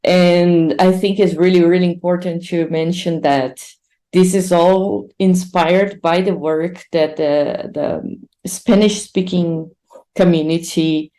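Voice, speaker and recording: Brazilian-accented woman presenter on a video call, unhurried and soft-toned, hesitant with frequent ums and restarts. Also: fairly low pitch, steady tone. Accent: Brazilian Portuguese. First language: Portuguese. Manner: hesitant, many fillers and restarts. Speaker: conference speaker. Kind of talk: online conference talk.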